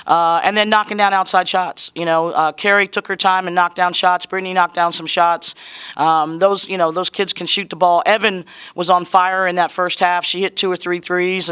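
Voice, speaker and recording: A woman coach speaking at a postgame press conference, with a bright, forward tone and a smooth, clear voice. She is slightly hoarse from a cold and talks in an earnest, conversational way. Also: on the low side. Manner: earnest, conversational, proud of her players. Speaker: basketball head coach.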